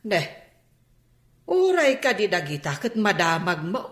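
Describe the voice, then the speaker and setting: A woman playing a fussing, excitable older mother in a radio drama. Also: medium pitch, measured pace, reverberant. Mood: teasing, scolding.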